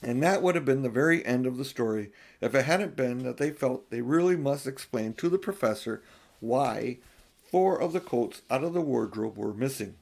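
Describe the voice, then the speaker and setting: A man's voice: medium pitch, lively intonation, moderate pace, vocal fry, a little mellow. An older man narrating a children's audiobook as its reader. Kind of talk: storybook narration.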